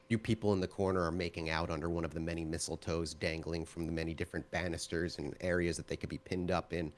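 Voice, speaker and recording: A man with a fairly low voice acts as game master. He narrates scenes for a tabletop roleplaying game in descriptive language and turns to his players as he goes.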